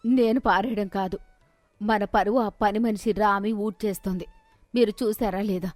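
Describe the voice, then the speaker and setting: A woman's voice: medium pitch, steady tone, moderate pace, a little mellow, dry recording, soft background music, lightly acted. A woman voicing a proud, jealous, stubborn housewife who scolds and demands in a storybook tale. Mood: indignant, demanding.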